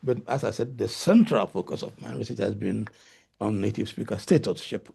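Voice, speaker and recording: A middle-aged Ghanaian-accented man answers questions on a video call, his voice deeply gravelly and husky. He speaks hesitantly, with many fillers and restarts. The tone is dark and mellow. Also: fairly quick. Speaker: guest lecturer.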